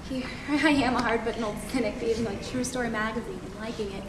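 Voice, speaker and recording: A woman's voice: fairly high pitch, steady tone, fast talker, close to an everyday voice. A young woman playing a wisecracking secretary, cynical yet giddily in love, in an emphatic stage monologue. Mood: elated, defiant. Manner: emphatic, declarative. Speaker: student actor. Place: theatre workshop performance space. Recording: echoey room from far off, hissy noise.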